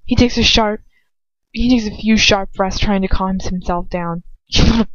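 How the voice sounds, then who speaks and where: A woman reading a story aloud in an expressive amateur narration, with dramatic emotion and casual asides. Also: medium pitch, normal speed, dry recording. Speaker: fan fiction reader. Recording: dull, lo-fi, dry home recording.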